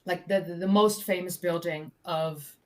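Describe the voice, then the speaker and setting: A woman's voice: fairly low pitch, fairly even intonation, a little mellow. Chatty woman presenting history. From Netherlands, North Holland. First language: Dutch. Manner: chatty, quick, many fillers and self-corrections. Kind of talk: casual live-stream chat about archaeology.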